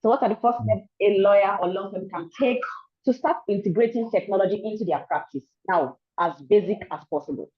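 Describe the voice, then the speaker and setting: A woman with a Nigerian accent moderating a webinar, brisk and courteous. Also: medium pitch. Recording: dull lo-fi audio.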